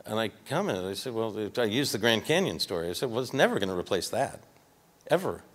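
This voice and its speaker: A man's voice: on the low side, big pitch swings, fairly quick. An older man with a Midwestern American accent giving a casual, folksy conference talk from a podium with a handheld mic, often restarting his sentences. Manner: conversational, folksy, trailing restarts. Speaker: award recipient speaker. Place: large hotel ballroom stage. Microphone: handheld microphone at a podium.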